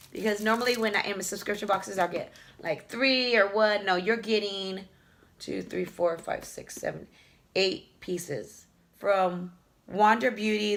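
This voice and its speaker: Hoarse, gravelly woman vlogger. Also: medium pitch, fairly bright tone. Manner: chatty, fond, rambling product talk with fillers. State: hoarse, losing her voice from a cough. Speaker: beauty vlogger. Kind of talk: casual unboxing chat.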